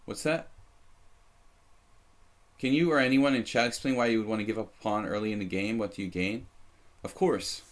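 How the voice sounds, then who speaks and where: Deep-voiced man rambling over a chess stream. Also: normal speed, pauses often. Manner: rambling, self-deprecating, many fillers and restarts. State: slight leftover cough. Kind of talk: casual live-stream commentary.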